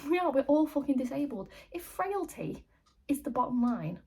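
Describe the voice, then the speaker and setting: A woman's voice: mid-range voice, animated, a little fast, darkish tone, slightly rough. An actress playing an agitated, chatty woman fed up with pestering strangers, in a blunt, rambling contemporary stage monologue. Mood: exasperated.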